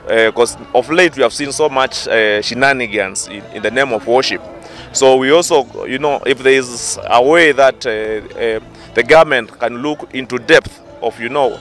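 A young man giving an outdoor press interview, speaking haltingly with many fillers into a handheld microphone. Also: medium pitch, fairly bright tone. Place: outdoors in open field. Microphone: handheld press mic.

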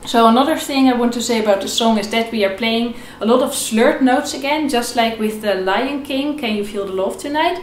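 A woman's voice: on the high side, fairly quick, few pauses, slight background noise. A young woman giving an informal, step-by-step instructional lesson as a violin teacher, repeating key points in an encouraging way. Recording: echoey room. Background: violin playing between phrases.

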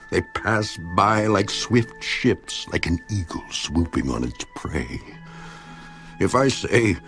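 A tormented man pleading with God, a very deep, gravelly voice that rises into anguished cries and sinks back to near whispers. He speaks slowly, with dramatic swings of pitch, over clear music in a scripture audio drama. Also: exaggerated voice. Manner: anguished, swinging from hushed to crying out. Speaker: voice actor. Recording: with music underneath.

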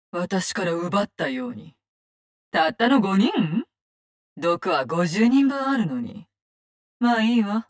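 A vengeful female assassin from a tactical video game. Her voice sits at a medium pitch. She delivers short, commanding lines with icy contempt and menace. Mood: cold, bitter. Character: menacing, cold, vengeful woman poison-gas assassin. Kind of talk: video game character barks in Japanese.

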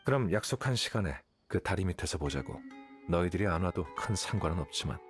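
Blunt young scholar, low voice, detached, condescending, measured, video game, music behind. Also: male voice, animated. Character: cool, blunt, calculating young male scholar.

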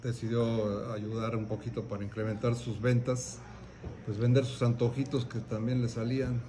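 A man's voice: medium pitch, flat delivery, normal speed. A man in his sixties with a Mexican accent, recounting family business history as an interviewed restaurant owner. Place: restaurant dining room, indoors. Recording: clearly noisy restaurant room background.

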